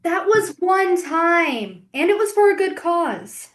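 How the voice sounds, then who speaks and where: A woman's voice: extremely high pitch, big pitch swings, slow, exaggerated voice. A woman playing a cheeky college-age eldest daughter in an audio play.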